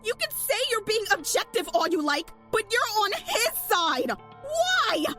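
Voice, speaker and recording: A woman's voice: extremely high pitch, very animated, measured pace, loud music behind the voice, full character voice. A woman playing a stubborn, easily hurt young woman in an audio drama, with emphatic, accusing delivery. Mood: furious accusing. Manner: emphatic, outraged outbursts.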